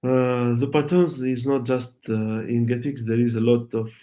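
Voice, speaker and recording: A man whose native language is Arabic, presenting an online tech talk and taking questions, speaking haltingly with many fillers and restarts. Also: medium pitch, little pitch movement, warm tone. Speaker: conference speaker.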